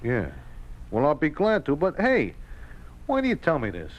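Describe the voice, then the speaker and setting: A slangy American racetrack gambler, 1950s radio. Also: male voice, fairly high pitch, huge pitch swings, normal speed. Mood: frank. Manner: slangy, mock-formal, hesitant. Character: good-hearted racetrack gambler, slangy working-class American everyman. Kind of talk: 1950s radio drama acting. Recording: vintage 1950s radio broadcast.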